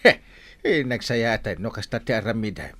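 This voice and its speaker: A man's voice: lowish voice, expressive, normal speed, a bit bright. A man playing a boisterous, teasing village captain in a comedy radio drama.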